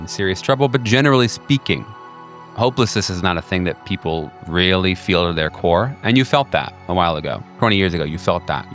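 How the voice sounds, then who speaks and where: A man with a very low voice narrates a tabletop horror game as its game master. He talks haltingly, circling back and restarting his sentences, while soft music plays in the background. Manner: halting, many restarts and fillers.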